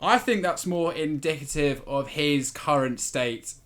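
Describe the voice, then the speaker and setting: A man's voice: fairly high pitch, animated, unhurried, fairly bright tone. A man giving his opinions as a guest in a casual football podcast chat.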